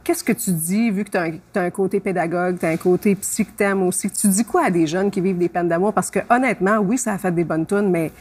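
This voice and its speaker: Husky Québécois woman host asking questions. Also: fairly low pitch, a little fast, hardly any pauses. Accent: Québécois French. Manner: curious, lively questions, laughs easily. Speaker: interview host. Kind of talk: conversational interview.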